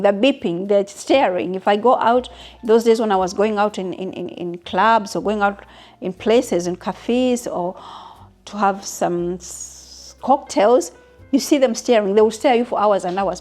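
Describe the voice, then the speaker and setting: A woman's voice: mid-range voice, darkish tone. Chatty woman in her fifties, from Uganda. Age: 50s. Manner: chatty, frequent restarts and asides to viewers. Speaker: vlogger. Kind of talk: casual Q&A talking to viewers.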